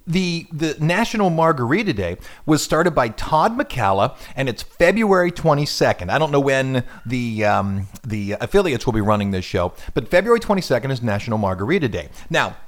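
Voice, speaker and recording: A male radio host talking in a loose, rambling way, cracking jokes at his own expense. His pitch swings up and down freely and sits at an ordinary middle height. He sounds like he is chatting in a studio. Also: sing-song, moderate pace, few pauses. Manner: jokey, rambling, self-deprecating. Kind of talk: casual radio chat.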